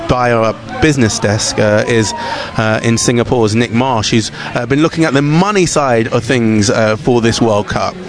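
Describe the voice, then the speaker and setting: Male news anchor, a little hoarse, middle pitch, relaxed and halting. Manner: relaxed, hesitant with many fillers. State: slightly hoarse. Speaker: news presenter.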